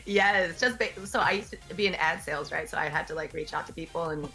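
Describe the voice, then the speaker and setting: A young woman chatting on a morning show, easygoing and laughing through her story. Her voice is bright and crisp, with faint music behind her. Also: low voice, brisk, poor audio. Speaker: guest co-host. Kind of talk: casual chat.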